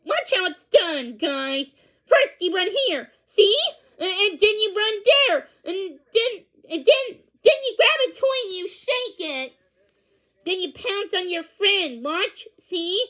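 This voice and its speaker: Storybook pug puppy, acted by a woman: very high-pitched, excitable, boastful, gleeful, tumbling over repeated exclamations. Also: slow, full character voice. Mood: wildly excited.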